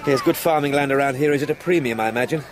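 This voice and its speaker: A man plays a young English solicitor in a radio play. He talks briskly in polite, formal sentences, always probing and a little uneasy, with faint outdoor ambience around him. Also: medium pitch, noisy background, lightly acted. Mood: polite curiosity. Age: young adult. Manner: formal, articulate, questioning. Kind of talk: radio drama acting.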